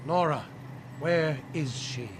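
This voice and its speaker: An actor playing a grieving, subdued supervillain scientist in a video game, speaking formally, solemnly and haltingly. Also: male voice, a little high, slight background noise. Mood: sorrowful, grateful. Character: grieving, subdued male scientist supervillain devoted to his wife. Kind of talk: video game cutscene dialogue.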